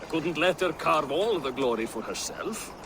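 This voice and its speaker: A good-humoured Norse-style warrior husband in a game scene. His voice sits slightly high, with a wry, bantering pride. Clear outdoor ambience runs behind him. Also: expressive, moderate pace, noisy background. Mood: teasing, jovial. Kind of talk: video game dramatic acting.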